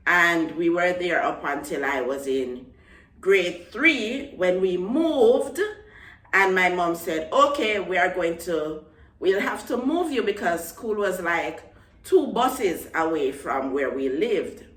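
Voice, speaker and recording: A woman's voice: on the low side, expressive, takes it slowly, fairly bright tone, reverberant, distant mic. A young woman from Jamaica with a Jamaican accent, chatting casually to her vlog audience and repeating words for emphasis. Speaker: vlogger. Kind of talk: casual talk to camera.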